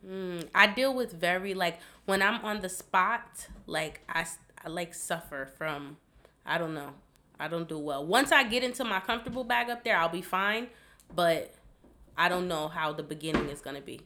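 A woman's voice: lowish voice, animated, normal speed, sharp tone. A woman hosting a podcast in casual chat, a bit congested, rambling and giggly with lots of fillers. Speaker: podcast host.

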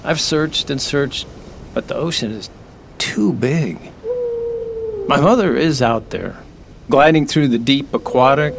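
Man playing a slow, gravelly, lonely dolphin-man. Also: mid-range voice. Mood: wistful, lonely. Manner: halting, dreamy, earnest storytelling. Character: gentle lonely male dolphin-man, half human, half fish. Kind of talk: audio drama acting. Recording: music behind the voice.

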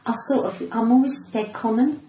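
A woman's voice: fairly high pitch, fairly even intonation, normal speed, mellow. A middle-aged woman with a cut-glass British accent, chatting casually and cheekily as a comedian being interviewed. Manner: cheeky, flirtatious. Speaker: comedian and actor guest. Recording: echoey room with noise.

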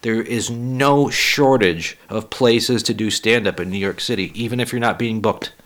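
A man hosting a solo podcast, a little hoarse, talking in a rambling, profane, self-deprecating way. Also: fairly low pitch, normal speed, fairly bright tone. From Boston area. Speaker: podcast host and stand-up comic. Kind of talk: casual solo podcast monologue.

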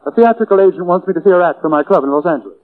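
Suave, high-voiced club owner. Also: male voice, lively intonation, very fast. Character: smooth-talking, flirtatious male nightclub owner. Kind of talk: 1940s radio acting. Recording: tinny old-time radio recording.

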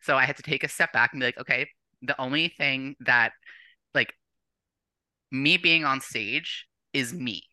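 Very bright, forceful young North American woman. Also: extremely low pitch, measured pace, pauses often, no room sound. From born or raised in Canada, later the United States. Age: young adult. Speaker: podcast guest, competitive bodybuilder. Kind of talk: casual chat, personal storytelling.

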